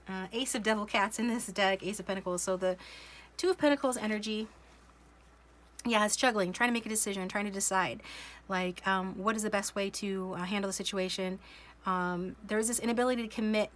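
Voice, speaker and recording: A woman reads tarot cards in a casual, wandering way, full of ums and restarts. She has a slight cold and sniffles now and then. Her pitch sits in a middle range, and a faint hiss runs under the recording. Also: a bit bright. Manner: slow, musing, many fillers and restarts. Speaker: tarot reader. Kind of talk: casual tarot reading to camera.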